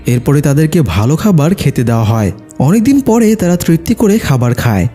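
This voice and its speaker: A man's voice: fairly high pitch, sing-song, a little fast, warm tone. A man narrating a film's plot from a script. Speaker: movie recap narrator. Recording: music behind the voice. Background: background music.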